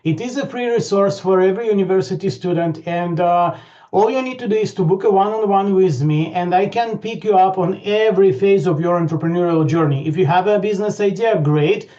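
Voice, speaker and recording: A man hosting an online webinar over a video call, talking haltingly with many fillers and restarts. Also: high-pitched, dark tone. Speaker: webinar host. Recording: dull lo-fi call audio.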